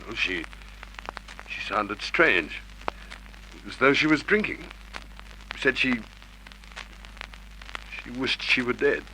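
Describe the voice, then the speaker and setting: Hot-tempered male suspect husband, mid pitch, animated and defensive, noisy old radio recording. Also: takes it slowly, pauses often, exaggerated voice. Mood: defensive, upset. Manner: defensive, agitated. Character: hot-tempered, upset husband under suspicion. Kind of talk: Golden Age radio drama acting.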